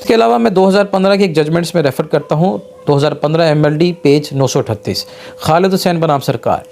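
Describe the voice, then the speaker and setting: Mellow-voiced Pakistani man giving a law lecture. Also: on the high side, fast talker, gravelly, a little hiss or hum. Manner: explanatory, repeats and rephrases key points. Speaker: lawyer teaching law. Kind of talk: informal law lecture mixing Urdu with English legal terms.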